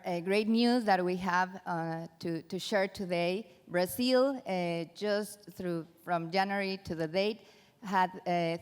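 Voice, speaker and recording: A woman with a Mexican accent, an international attorney speaking on a conference panel in a measured way, with hesitant fillers. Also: lowish voice, clean tone. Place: large conference hall. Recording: conference hall reverb.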